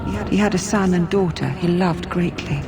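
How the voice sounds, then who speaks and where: A stately female forest spirit voiced by an actress, solemn and mysterious. The speech is formal and regal, in fantasy game style. Music plays clearly underneath. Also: on the low side, brisk, lightly acted.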